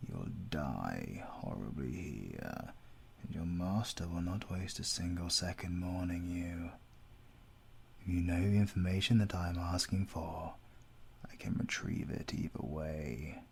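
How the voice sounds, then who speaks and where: A British man acting a menacing, coldly courteous villain interrogator in an audio roleplay, speaking formally and deliberately, at times dropping into a whisper. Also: low-pitched, creaky, a little hiss or hum. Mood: cold threat.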